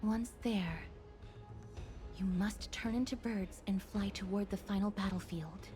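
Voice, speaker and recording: Actress as solemn young maiden, gentle, formal, middle register, soft-spoken, video game score behind. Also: female voice, mid-range voice, unhurried, faint music behind, natural voice. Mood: calm. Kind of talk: video game drama acting.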